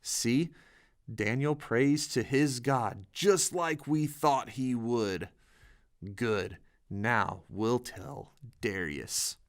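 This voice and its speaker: A man's voice: mid-range voice, extremely slow. Man playing a scheming young royal official. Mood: smug.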